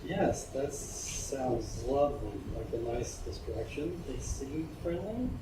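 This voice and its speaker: Storybook role: a man doing a strange, dreamy woman with a soft, slow, faraway voice; echoey, distant, noisy sound. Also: medium pitch, lively intonation. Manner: dreamy, odd, heavy-accented, stammering. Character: eccentric tall woman talking to herself in two voices.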